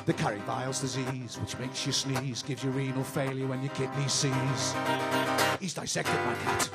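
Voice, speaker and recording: A man's voice: mid-range voice, steady tone, moderate pace. A man from Liverpool with a Liverpool accent, a comic songwriter mumbling dry jokes between songs and singing a comedy song at a live pub gig. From Liverpool, England. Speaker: musical guest, comic songwriter. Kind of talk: comic patter and sung comedy song with guitar. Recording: loud guitar under the voice. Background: guitar.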